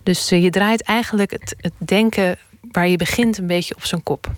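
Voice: medium pitch, steady tone, moderate pace, no room sound. An interview guest reasoning step by step in a reflective, argumentative way, like an academic in a studio conversation.

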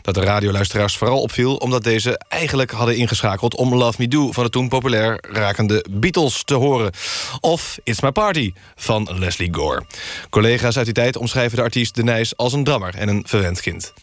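A man reading scripted mock news as a radio presenter, with a deadpan delivery. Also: mid-range voice, brisk, dry recording. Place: dry radio studio.

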